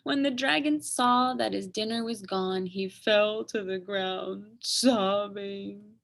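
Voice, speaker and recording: A woman reading a picture book aloud to children as an expressive, dramatic storyteller with emphatic pauses. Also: mid-range voice, creaky.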